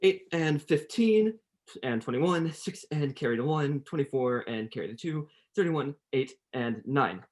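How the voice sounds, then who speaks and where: A husky-voiced, humble gentleman of Victorian London acted by a young performer in an old radio play. The delivery is flustered and very deferential, sitting at a middling pitch. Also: lively intonation, a little slow. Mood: meek, apologetic. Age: young adult. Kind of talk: 1930s radio play acting.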